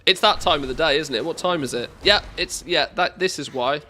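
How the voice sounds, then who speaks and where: A man's voice: fairly high pitch, fairly quick, pushed and bright. A man with an English accent chatting casually as a game livestreamer, in a jokey, self-deprecating way. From England, born in the northwest, lived mostly in the Midlands.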